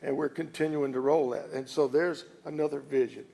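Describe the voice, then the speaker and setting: Folksy Southern man, gravelly edge. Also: a little high, fairly even intonation, fairly quick, mellow. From southern United States (Alabama and Louisiana). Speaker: company executive speaking at an announcement. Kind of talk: informal public speech.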